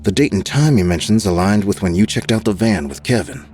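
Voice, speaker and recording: A man's voice: lowish voice, expressive, a little fast, a little mellow, faint music behind, close to an everyday voice. A man playing a scathing, contemptuous newsroom boss in an audio drama. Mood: furious. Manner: scathing, sarcastic. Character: furious, contemptuous male newsroom boss, foul-mouthed.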